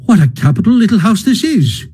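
Hearty storybook water rat, voiced by a man, raised pitch, husky edge, coaxing and brisk. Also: sing-song, dark tone. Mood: cheery. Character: cheery bustling male water rat, hearty. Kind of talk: storybook reading.

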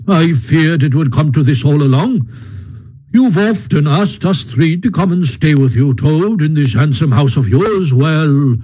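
A man's voice: a little high, warm tone, poor audio. A man voicing a stern, fatherly badger in a storybook, speaking in a solemn, firm, speechifying way.

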